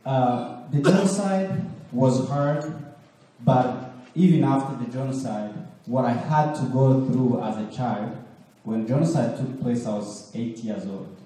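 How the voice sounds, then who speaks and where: A man from Rwanda in his thirties, speaking haltingly with many fillers as guest speaker to a church class and asking the audience questions. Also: mid-range voice, mellow. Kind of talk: testimony talk to a church class. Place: church hall. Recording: echoey hall from a distance.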